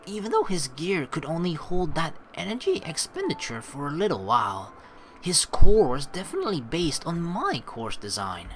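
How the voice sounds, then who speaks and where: A man narrates a game in an animated voice with lively swings in pitch. He slips between reading text aloud and loose, sweary, rambling commentary, with game music clearly playing behind him. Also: moderate pace. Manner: profane, rambling, theorizing. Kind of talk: casual gaming commentary mixed with read-aloud narration.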